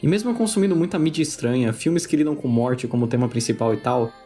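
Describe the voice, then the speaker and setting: A man's voice: mid-range voice, quick pace, mellow, soft background music. A Brazilian man narrating a scripted video essay.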